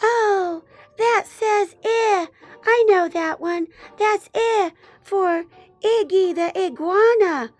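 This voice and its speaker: A tiny girl piglet from a children's story, eager and sweet. The voice is extremely high and squeaky, with slow, drawn-out words and an excitable manner. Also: dry recording, faint music behind. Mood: eager, curious. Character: sweet eager little female piglet learning to oink.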